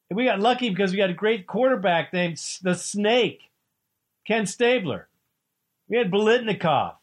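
Host: man, fairly high voice, excitable fan talk, preachy streak. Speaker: radio show host. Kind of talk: sports talk radio.

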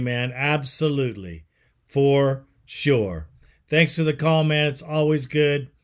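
A man's voice: on the high side, a bit bright. A man hosting a sports call-in show, laid-back and chatty, calling callers "bro". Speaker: sports talk show host. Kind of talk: casual call-in show chat.

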